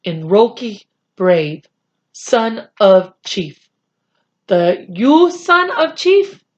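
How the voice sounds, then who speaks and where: A woman's voice: mid-range voice, very animated, extremely slow, muffled recording. A woman voicing a tiny proud, haughty warrior man who speaks in terse, scornful broken English for a children's story. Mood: fierce.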